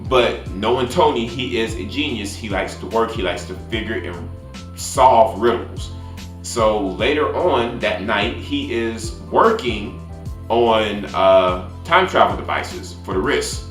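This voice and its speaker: A man with a fairly low voice gives a casual, conversational film recap as a review host. The room adds clear echo to his voice, and music plays faintly behind him. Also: animated, slow pace, a bit bright. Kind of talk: casual movie recap with spoilers.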